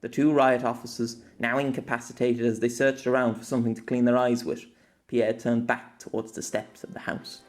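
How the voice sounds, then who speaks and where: A man narrating an action scene in an audiobook in a steady storytelling voice. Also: medium pitch, fairly quick. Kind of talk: scripted narration.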